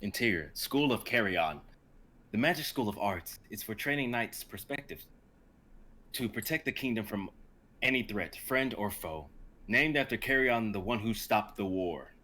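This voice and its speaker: Bright-voiced young man narrating. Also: lowish voice, expressive, moderate pace. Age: young adult. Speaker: narrator, stream performer. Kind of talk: dramatic narration of an amateur script.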